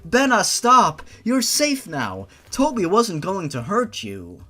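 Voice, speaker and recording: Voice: mid-range voice, huge pitch swings, slow, bright, forceful tone, soft background music, pitched above the performer's own voice, exaggerated voice. A narrator voicing a protective, emotional older sister with an earnest manner in a read-aloud story. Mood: urgent. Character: protective older sister, emotional teenage heroine of horror romance.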